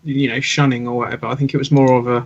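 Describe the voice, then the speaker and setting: A man's voice: medium pitch, little pitch movement, fast, highs cut off. A man chatting casually as a panelist in a fan discussion podcast.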